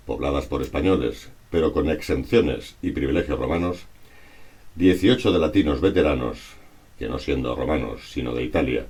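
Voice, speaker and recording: Very deep-voiced man from narrating formally. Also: a little mellow. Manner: formal, measured, bookish delivery. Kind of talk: scripted historical narration read aloud.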